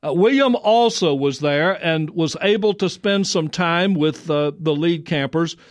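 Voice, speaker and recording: A man hosting a program, talking in a measured, thoughtful way with some hesitant fillers. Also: fairly high pitch, dry recording, clean studio sound. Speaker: host.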